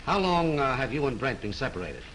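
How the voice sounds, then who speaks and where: A man's voice: medium pitch, sing-song, fairly quick, fairly bright tone, a little hiss or hum, low-quality recording. An actor playing a brusque, sarcastic male police lieutenant who questions people bluntly, in 1930s film style. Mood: grilling. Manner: blunt, needling interrogation.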